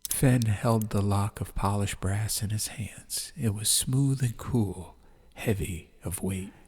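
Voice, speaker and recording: A man's voice: on the low side, takes it slowly, a little mellow, husky. A man reading a scripted fantasy story as an audiobook narrator. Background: ambience.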